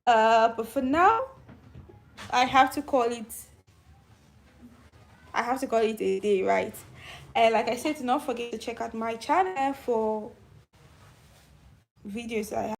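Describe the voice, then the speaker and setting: Young-sounding woman with a gravelly edge. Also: a little high, a little slow, pauses often. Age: middle-aged. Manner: youthful-sounding voice; chatty, reads and answers viewer comments. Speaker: channel host. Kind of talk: casual live stream chat.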